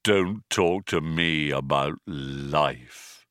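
A man voicing a depressed, self-pitying robot with a low, droning, dejected delivery in a storybook reading. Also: no room sound, clean studio sound, full character voice. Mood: hopeless, bitter. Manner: slow, dejected, flat delivery.

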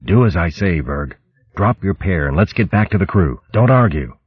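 Man acting a husky, stern mouse warrior. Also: deep voice, animated, no room sound, narrow, tinny audio. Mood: firm, urgent. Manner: brisk, commanding, gruff banter. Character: bold, sturdy male mouse chieftain, stern warrior. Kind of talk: audiobook character voice.

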